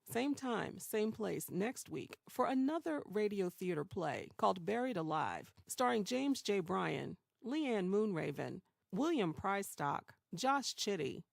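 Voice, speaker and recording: A woman announces as host of an audio theater show. Her voice has a marked creak, recorded very dry, and she moves between scripted credits and informal asides. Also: medium pitch, darkish tone, dry recording.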